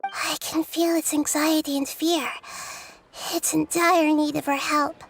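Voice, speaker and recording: A woman voicing a small, gentle, wise child goddess in a video game, speaking softly and thoughtfully. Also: sits high, flat delivery, a little slow, vocal fry, a little hiss or hum. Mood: gentle, reassuring. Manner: soft-spoken, thoughtful, articulate. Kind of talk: video game character acting.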